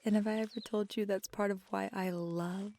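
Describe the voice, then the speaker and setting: A woman playing a feisty, affectionate magical girlfriend in an intimate ASMR roleplay, soft-spoken and halting, sometimes slipping into a whisper. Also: mid-range voice, fairly even intonation, a little hiss or hum, lightly acted. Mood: playful teasing.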